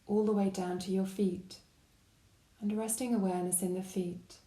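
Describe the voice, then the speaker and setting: A woman guiding a body scan meditation with gentle, calm, unhurried instruction. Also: mid-range voice, roomy sound, rough recording. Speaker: meditation guide.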